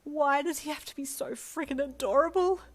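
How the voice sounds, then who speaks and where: A woman's voice: a little high, fairly even intonation, normal speed, voice pushed up, close to an everyday voice. A woman voicing a gushing, lovestruck female shop clerk and obsessed fan in a fan-fiction audio story, dramatic and breathless.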